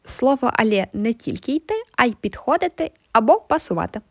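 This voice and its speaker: A woman narrates a language lesson. Her voice is on the high side, and she explains phrases as a teacher would. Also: lively intonation, a little fast, over music. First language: Ukrainian. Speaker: French teacher.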